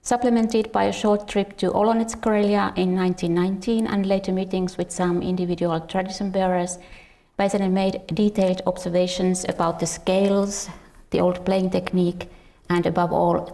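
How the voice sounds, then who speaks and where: A woman with a Finnish accent presents research in an academic lecture. Her voice sits a little low and carries plenty of vocal fry. She reads from prepared notes in a halting way, sometimes stumbling and repeating a word. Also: flat delivery, warm tone. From Finland. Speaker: researcher and musician presenting at a conference. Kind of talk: academic lecture, partly read from a script.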